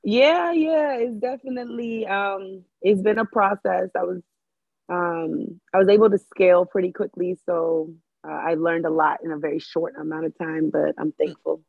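A woman's voice: lowish voice, fairly even intonation, moderate pace, gravelly, dry recording. A woman from the Dominican Republic, raised in Maryland, talking about hosting as a podcast guest in an earnest, thoughtful way with many fillers. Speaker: guest, Airbnb host. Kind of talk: casual podcast chat. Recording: tinny phone-line sound.

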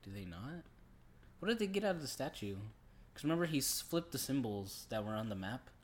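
A man's voice: medium pitch, sing-song, brisk. A man co-hosting a podcast, discussing movies in an informal, conversational way. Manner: informal, conversational, often says "like". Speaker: podcast co-host.

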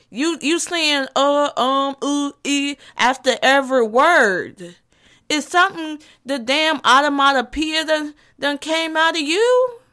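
A sassy woman with a slight lisp, talking at an unhurried, drawn-out pace. Her voice sits fairly high, and she slides into sarcastic, heated rants. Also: a bit bright. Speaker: vlogger. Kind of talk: comedic advice vlog.

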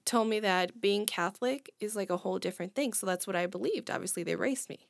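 A woman's voice: mid-range voice, fast talker, gravelly, dry recording, studio quality. A woman whose first language is Spanish, chatting casually in a rambling, hesitant way, often repeating herself. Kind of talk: casual chat.

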